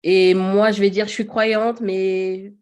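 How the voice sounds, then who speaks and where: A woman's voice: mid-range voice, level tone, takes it slowly, darkish tone. A woman in her thirties, a podcast guest, talking casually with many fillers and easy laughs. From Paris region. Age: 30s. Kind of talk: casual chat.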